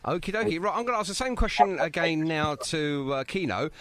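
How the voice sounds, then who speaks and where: A man's voice: on the high side, lively intonation, fairly quick, dry recording. A chatty, jokey man, hosting a casual radio interview with a band. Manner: chatty, jokey, apologetic teasing. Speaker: radio show host.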